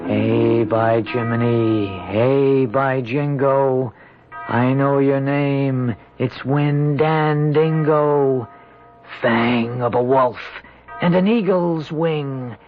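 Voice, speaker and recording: An actor playing a simple, superstitious country soldier with an American accent, folksy and insistent, in a radio drama. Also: male voice, mid-range voice, steady tone, drawn-out words. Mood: chanting, awed. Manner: folksy, naive, insistent, chanting. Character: simple, earnest, superstitious country man, a Revolutionary War soldier. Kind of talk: 1970s radio drama acting. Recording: dull 1970s radio recording with music.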